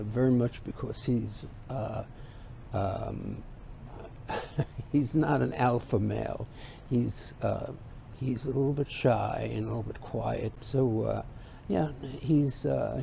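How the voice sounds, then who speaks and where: American guy from new york, hesitant, gravelly voice, actor interview w faint noise. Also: medium pitch, slow pace, dark tone. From New York, United States. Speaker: musical theatre actor. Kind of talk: cast interview.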